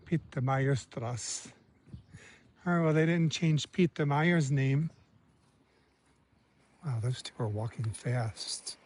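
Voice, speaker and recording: A man's voice: medium pitch, takes it slowly, long pauses, darkish tone. A man vlogging his trip outdoors in a village, chatting casually and muttering asides, sometimes in a whisper. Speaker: travel vlogger. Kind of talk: casual walking vlog commentary. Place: outdoors in a village street and on a café terrace.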